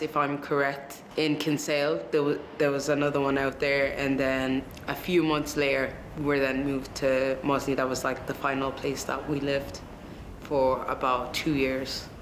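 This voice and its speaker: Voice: mid-range voice, little pitch movement, unhurried, echoey room, noisy background. A young adult from Zimbabwe giving a reflective account in a documentary interview. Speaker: documentary interviewee, former resident.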